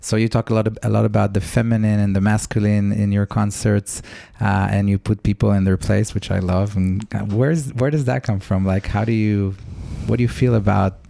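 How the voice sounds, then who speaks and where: A man hosting a podcast, interviewing a guest in an admiring, halting way. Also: on the low side, flat delivery, vocal fry, dark tone, no room sound. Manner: admiring, halting, with many fillers and restarts. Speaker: podcast host.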